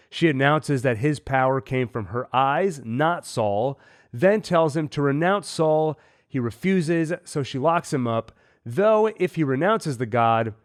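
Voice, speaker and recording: Man with a cold recapping an episode. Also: medium pitch. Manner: analytical, measured recap with mild opinions. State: has a cold. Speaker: host.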